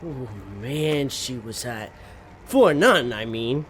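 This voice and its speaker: A woman's voice: a bass voice, animated, takes it slowly, slight background noise, exaggerated voice. A woman playing an eager, naive and slightly dim underling in a comedy audio drama. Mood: dreamy, eager. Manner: hesitant, blurting, naive.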